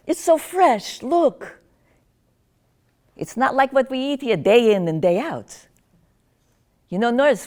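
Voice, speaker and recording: A woman's voice: sits high, a little mellow, exaggerated voice. Woman playing wry old grandmother. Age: older. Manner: gossipy, teasing, drifting into confusion. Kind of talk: one-woman stage monologue.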